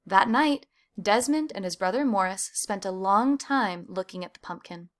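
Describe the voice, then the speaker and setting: A woman reading a children's picture book aloud, with animated emphasis on key words. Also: mid-range voice, moderate pace. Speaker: storybook reader.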